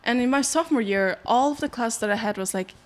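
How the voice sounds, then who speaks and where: A woman's voice: a little high, quick pace. A young woman from Mongolia, chatting casually on a podcast with lots of fillers. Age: young adult. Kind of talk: casual chat.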